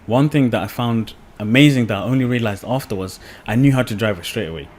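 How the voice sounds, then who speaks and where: A young man from the UK, a podcast guest, talking in a relaxed, rambling way with many fillers. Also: mid-range voice, fast. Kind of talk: casual podcast conversation.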